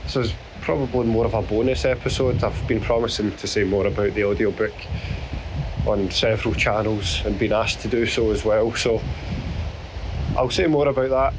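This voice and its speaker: A middle-aged Scottish man with a hoarse voice, hosting his podcast as a rambling spoken diary while walking on a beach. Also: on the low side. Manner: rambling, self-deprecating, halting. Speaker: podcast host. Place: outdoors on a beach. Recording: outdoor recording with clear background noise.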